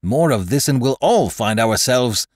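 A man's voice: deep voice, big pitch swings, moderate pace, a little mellow, dry recording, clean studio sound. A man voicing a blunt, proud ship's officer in an audiobook sea adventure, curt and commanding. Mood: sharp warning.

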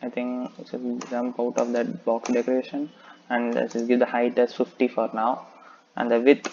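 A man's voice: medium pitch, monotone, moderate pace, gravelly, a little mellow, lo-fi sound, a little hiss or hum. A man talking through a coding tutorial, explaining step by step and thinking aloud with frequent "okay". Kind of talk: screen-recorded coding tutorial.

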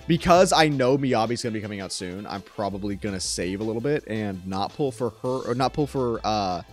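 Enthusiastic young man, casual reaction commentary. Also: mid-range voice. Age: young adult. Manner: enthusiastic, slangy. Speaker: streamer reacting to game trailers. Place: small room at home. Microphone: close desk microphone.

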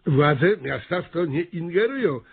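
Pompous, flustered mayor, very animated. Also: male voice, on the high side, measured pace, creaky, husky, full character voice. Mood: officious. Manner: officious, hesitant, exclaiming. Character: pompous, flustered small-town mayor, male. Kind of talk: comic radio play acting. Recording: thin, phone-like radio play recording.

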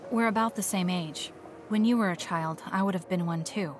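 A woman's voice: medium pitch, normal speed, soft background music, natural voice. An actress playing an aloof young female soldier in an anime-style video game, curt and matter-of-fact. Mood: cool, curt.

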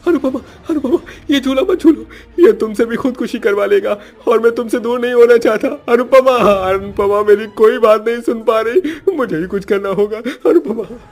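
A man's voice: very high voice, moderate pace, few pauses, a little mellow, voice pushed up. A man voicing an anguished young husband and son in a horror cartoon, with a pleading, melodramatic manner.

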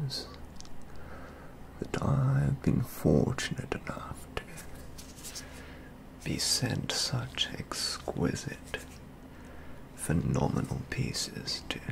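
A young man acting as a pompous, rapturous painter of collectible models in an ASMR roleplay. He whispers very slowly with heavy creak, close to the microphone. His ornate phrases are halting and deliberate, full of fussy reverence. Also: mid-range voice, a bit bright, exaggerated voice, low-quality recording. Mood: reverent, self-satisfied. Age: 20s. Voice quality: breathy whisper. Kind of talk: soft-spoken ASMR roleplay. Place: indoor studio with plain backdrop.

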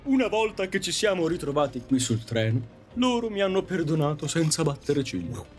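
A man's voice: on the high side, big pitch swings, normal speed, soft background music. An actor playing a grateful, sentimental train passenger in an audio drama, telling his past with earnest, dramatic delivery. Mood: earnest, moved. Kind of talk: Italian audio drama acting.

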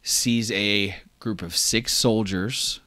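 A man's voice: on the low side, takes it slowly, no room sound, clean studio sound. Casual, swearing male game master. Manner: casual, swears freely, excitable. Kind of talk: running a tabletop game.

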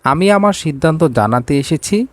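A man's voice: a little high, expressive, normal speed, no room sound, pitched above the performer's own voice, close to an everyday voice. A man voicing a curt, commanding rich boss in a storybook romance, slipping into English. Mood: cold, irritated. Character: cold, domineering rich male boss in a romance.

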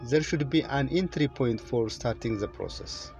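A middle-aged man from Ethiopia with an Ethiopian accent gives a formal, deliberate prepared speech to camera inside a car. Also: mid-range voice, darkish tone, faint music behind. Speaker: presenter, children's author.

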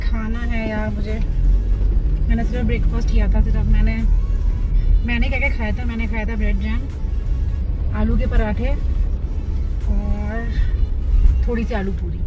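A woman's voice: a little high, level tone, moderate pace, long pauses, dull audio. A woman chatting casually and playfully in an informal, teasing way, as a travel vlogger. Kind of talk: casual, playful vlog chat. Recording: outdoor vlog with music underneath.

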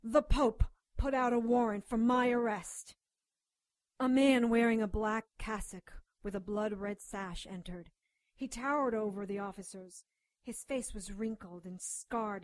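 A woman's voice: mid-range voice, steady tone, a little slow, no echo, lightly acted. A woman playing a feisty, outspoken doctor who also narrates her supernatural case in a radio drama. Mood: narrating. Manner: defiant, outspoken. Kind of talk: radio drama acting with first-person narration.

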